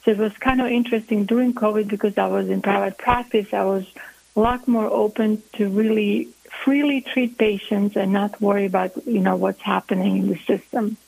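A woman's voice: mid-range voice, fairly even intonation, brisk, gravelly, a little mellow, husky, dry recording, poor audio. A woman from Croatia with a Croatian accent, a family physician earnestly explaining her views as an interview guest. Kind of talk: interview answers.

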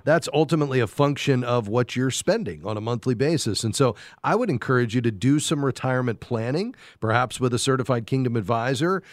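Male call-in host, conversational advisor, medium pitch, very dry room. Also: lively intonation, fairly quick, few pauses, dry recording. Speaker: radio show host.

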